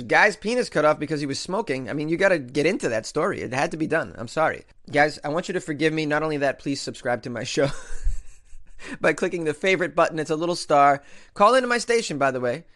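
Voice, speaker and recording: A man's voice: a little high, fairly bright tone. Jokey man hosting comedy news. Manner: wry, jokey, often laughs. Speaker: host.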